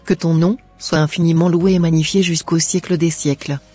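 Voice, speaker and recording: Soft, husky devout daughter played by a woman. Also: deep voice, steady tone, a little fast, faint music behind. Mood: earnest, devout. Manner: earnest, preachy. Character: devout, wise, gentle schoolgirl daughter.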